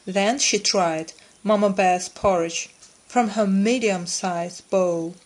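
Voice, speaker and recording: A woman narrating a scripted children's story, phrasing it deliberately for English learners. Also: medium pitch, steady tone.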